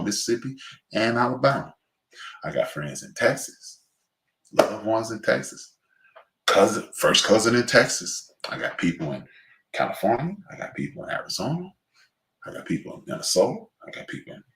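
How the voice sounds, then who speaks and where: Deep-voiced Chicago man rambling on a livestream. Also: reverberant, low-quality recording. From Chicago West Side. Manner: rambling, preachy, many fillers and restarts, shout-outs to friends. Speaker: livestream host. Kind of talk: casual livestream monologue.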